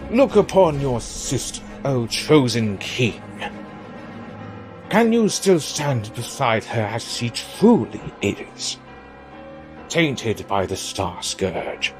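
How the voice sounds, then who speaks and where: A man playing a vengeful, taunting villain in an audio drama, with a mocking, drawn-out theatrical delivery. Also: mid-range voice, very animated. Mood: bitter taunting. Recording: music under the voice.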